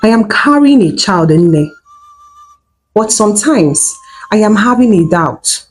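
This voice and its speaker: A woman's voice: medium pitch, animated, a little slow, faint music behind, close to an everyday voice. A woman voicing a desperate, pleading married village woman in a folktale. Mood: doubtful.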